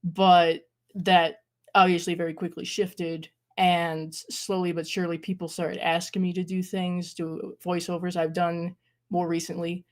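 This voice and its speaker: A woman's voice: lowish voice, little pitch movement, measured pace. A young woman, a guest talking about her acting, speaking hesitantly with many fillers and small laughs. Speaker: guest, horror creator and acting student. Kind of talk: casual interview chat.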